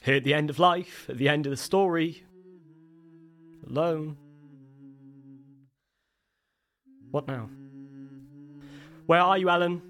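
A man playing a lonely, grieving man in an audio play, speaking a halting, introspective monologue. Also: fairly high pitch, fairly bright tone. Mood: forlorn. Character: grieving, lonely man questioning himself at a grave.

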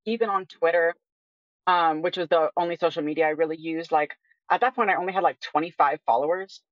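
A woman's voice: low-pitched, brisk, no echo. Candid young woman, slightly dull audio. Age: 20s. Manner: candid, informal, frequent "like" as filler. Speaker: interview guest. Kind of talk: casual personal conversation.

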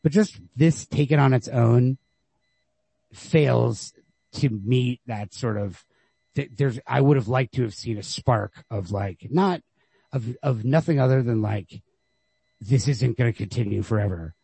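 A man's voice: medium pitch, unhurried, vocal fry, warm tone, husky, dry recording. A man co-hosting a podcast, casually discussing literature and thinking aloud in circling, hedged phrases. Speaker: podcast co-host.

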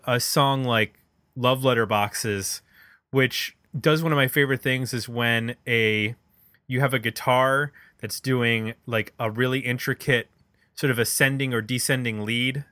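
A man's voice: mid-range voice, pushed and bright, no room sound. A man chatting casually about music in an enthusiastic, analytical way, like a podcast host.

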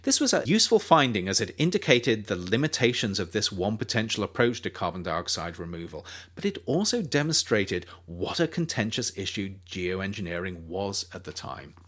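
A man narrates his own nonfiction book in an audiobook reading. His voice sits low, but his pitch rises and falls with lively, animated emphasis. He keeps a measured, steady delivery throughout. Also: husky.

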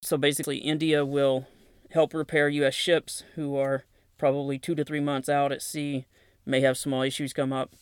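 A man's voice: medium pitch, level tone, moderate pace, clean tone, no echo, clean studio sound. A man with a Southern accent hosting a podcast, talking casually through defense news and reading press releases aloud with plain asides. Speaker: podcast host.

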